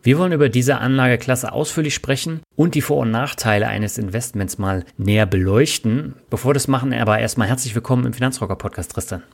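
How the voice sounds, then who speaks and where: A man hosting a finance podcast, speaking politely and in a measured way as he wraps up one interview and introduces the next. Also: mid-range voice, creaky. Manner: polite, measured, formal thanks. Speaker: podcast host.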